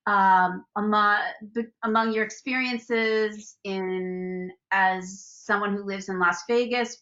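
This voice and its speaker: A woman interviewer asking a long question in careful, halting phrases. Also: medium pitch, pushed and bright, dull audio.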